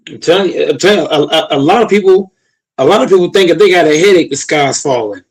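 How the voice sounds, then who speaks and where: A man in his late twenties holds forth in loose, crude talk, repeating himself and stumbling over restarts as he builds a point up. His voice sits a little high for a man. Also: lively intonation, fast, slightly rough, low-quality recording. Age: late 20s. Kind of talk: casual profane chat.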